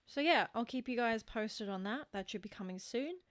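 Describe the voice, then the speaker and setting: A woman's voice: on the high side, animated, normal speed. A woman with an Australian accent chats casually and informally as a podcast co-host.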